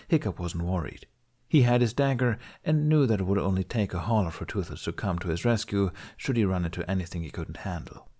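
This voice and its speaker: A man's voice: lowish voice, warm tone. A man reading a story aloud as an audiobook narrator in a scripted, storytelling style.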